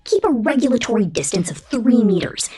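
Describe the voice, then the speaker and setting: Woman voicing a breathy, sadistic female killer robot. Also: fairly high pitch, lively intonation, fairly quick, mellow, faint music behind. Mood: haughty, possessive. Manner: menacing, contemptuous, commanding, breathy half-whispered delivery. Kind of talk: ASMR roleplay monologue.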